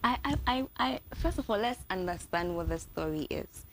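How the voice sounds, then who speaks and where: A woman's voice: medium pitch, expressive, normal speed, slight background noise. A woman hosting a talk show interview, chatty and full of fillers as she leads into her questions. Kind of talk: casual interview chat.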